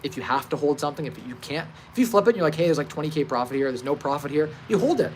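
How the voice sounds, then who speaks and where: A man's voice: a little high, racing. A thirty-year-old man hosting a casual livestream about investing, speaking informally, emphatically and confidently. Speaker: livestream host, real estate investor. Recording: clear steady background ambient noise.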